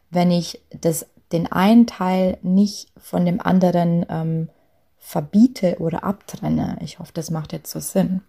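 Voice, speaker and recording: A young woman from Lower Bavaria with a light Bavarian accent, chatty and full of fillers, giving a talk on authenticity at work. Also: vocal fry. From Lower Bavaria, Germany. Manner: chatty, frequent fillers, soft laughs.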